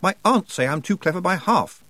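A man puts on a nervous young lad, voice pushed well above his own and loud. He sounds earnest and a bit flustered in a storybook reading. Close, dry recording. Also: sits high, expressive, fairly quick, dry recording, voice pushed up. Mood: anxious. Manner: anxious, earnest.

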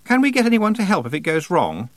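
A man's voice: high-pitched, wildly swooping pitch, measured pace, fairly bright tone, dry recording, pitched above the performer's own voice, full character voice. A man voicing a haughty, easily flustered teenage witch girl in a prissy, exclaiming manner for a storybook.

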